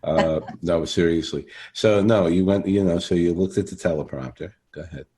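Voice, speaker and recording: A man hosting a podcast interview in an effusive, halting way, rephrasing his questions as he goes. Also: sits low, mellow. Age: middle-aged or older. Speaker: podcast host interviewing an actor. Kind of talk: enthusiastic, conversational interviewing.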